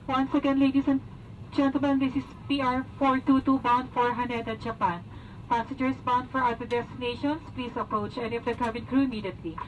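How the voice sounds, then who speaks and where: A woman's voice: high-pitched, flat delivery, brisk, distant mic, lo-fi sound. A woman with a Filipino accent making formal announcements as a flight purser over a cabin PA. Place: aircraft cabin. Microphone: cabin PA, captured by passenger's binaural microphone. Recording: cabin PA from afar, loud aircraft noise. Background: loud aircraft cabin hum.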